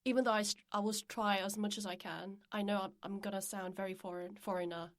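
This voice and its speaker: Young Korean woman, mid-pitched, searching for words. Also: fairly even intonation, quick pace. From Jeju, South Korea. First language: Korean, Jeju dialect. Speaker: podcast host. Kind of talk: casual podcast chat among friends. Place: professional podcast studio. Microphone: close studio microphone.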